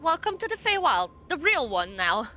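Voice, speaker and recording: A woman playing an earnest nature-magic guide devoted to her fey homeland, speaking haltingly and heartfelt in a tabletop roleplay. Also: high-pitched, big pitch swings, sharp tone, voice pushed up, full character voice. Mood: awed, earnest.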